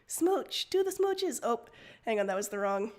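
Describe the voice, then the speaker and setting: Casting: upbeat female stream co-host with a high voice, giggly, informal chat. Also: sing-song, normal speed, darkish tone. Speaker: livestream co-host. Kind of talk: casual chat.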